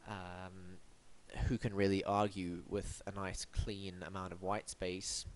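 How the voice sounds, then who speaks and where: Male web review host, lowish voice, congested and sniffly, dry wit and mock-grand asides. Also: flat delivery, slow. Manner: wry, theatrical, mock-formal. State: blocked up and sniffly from hay fever. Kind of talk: scripted web review monologue.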